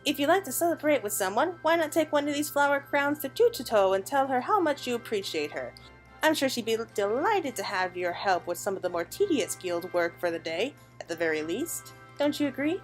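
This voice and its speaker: A woman's voice: fairly high pitch, sing-song, fairly quick, soft background music, rough recording. A woman voicing a gracious, cheerful guild mistress in a video game, polite and bubbly. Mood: politely amused.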